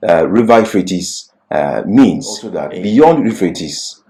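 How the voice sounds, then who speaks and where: A man's voice: deep voice, big pitch swings, takes it slowly, mellow. A man presenting a Bible lecture, reading prepared points and explaining them emphatically, repeating key phrases. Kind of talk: scripted religious lecture with explanatory asides.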